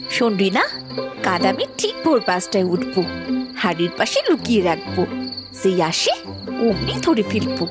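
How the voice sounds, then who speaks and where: A woman's voice: medium pitch, very animated, normal speed, long pauses. A woman voicing the lines of a bossy, scolding housewife in a cartoon. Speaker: voice actor voicing the housewife and the maid. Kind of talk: cartoon dialogue. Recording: loud music under the voice.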